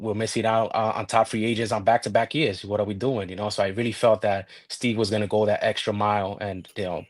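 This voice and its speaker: A man's voice: fairly low pitch, no echo. A man in his thirties speaking as a guest fan in casual sports talk, thoughtful and hesitant, with many fillers. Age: 30s.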